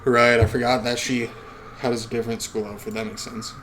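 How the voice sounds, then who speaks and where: A man's voice: mid-range voice, little pitch movement, a little fast, fairly bright tone, slight background noise, rough recording. Casual man reading a game aloud. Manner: casual, swears in asides. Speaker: let's play host. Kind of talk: reading a visual novel aloud with casual commentary.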